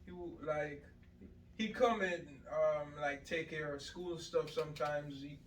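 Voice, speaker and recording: Young man, slightly high voice, rambling and relaxed, echoey room, distant mic. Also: measured pace, a little hiss or hum. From Jamaica. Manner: laid-back, rambling with many fillers and restarts. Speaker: podcast guest. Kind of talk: casual interview chat.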